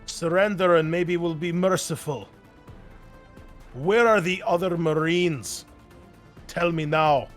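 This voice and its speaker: Man doing a loud, menacing army sergeant. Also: on the high side, takes it slowly, long pauses, soft background music, pitched above the performer's own voice. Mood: demanding, threatening. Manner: commanding, loud. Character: shouting, menacing male enemy army sergeant.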